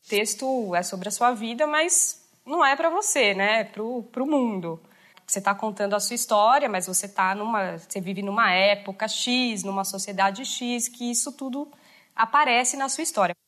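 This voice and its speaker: A woman's voice: mid-range voice, normal speed, a bit bright. A woman with a Brazilian accent explaining casually, as an educator does. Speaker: educator explaining autobiography writing.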